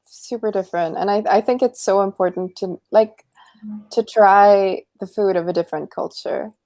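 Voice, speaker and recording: A woman's voice: mid-range voice, moderate pace. A young woman from the Philippines, an English tutor, chatting casually on a video call and laughing often. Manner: relaxed, laughs often, agreeable. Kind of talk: casual online conversation lesson.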